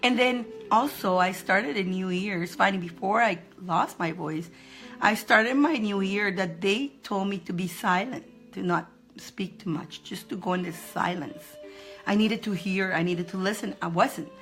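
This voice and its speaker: A woman's voice: medium pitch, normal speed, fairly bright tone, faint music behind. Chatty, upbeat woman reading cards live. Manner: chatty and upbeat, greets viewers by name, laughs often; repeats phrases for emphasis. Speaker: spiritual card reader hosting a live stream. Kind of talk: casual live-stream card reading.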